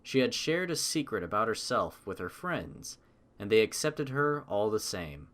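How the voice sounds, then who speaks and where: A man's voice: on the low side, very animated, moderate pace, a bit bright, slight background noise. A man reading scripted audiobook narration as the storyteller.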